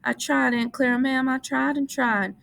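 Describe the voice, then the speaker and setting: A woman's voice: high-pitched, a little fast, creaky, a bit bright, pitched above the performer's own voice. Woman doing a childlike pleading big man. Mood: miserable. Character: big simple-minded man, childlike and anxious.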